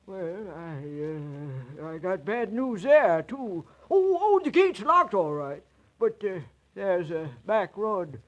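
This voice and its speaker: A man's voice: very animated, takes it slowly, fairly bright tone, muffled recording, exaggerated voice. An actor playing a folksy, apologetic old watchman in a radio drama, stammering and hesitating as he talks. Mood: hesitant. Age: older. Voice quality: high-pitched, folksy.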